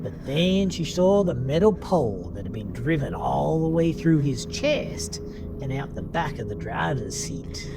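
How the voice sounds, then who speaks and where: A man narrating a scripted horror story in a dramatic, deliberate, suspenseful manner. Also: medium pitch. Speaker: audiobook-style narrator. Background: music under the voice.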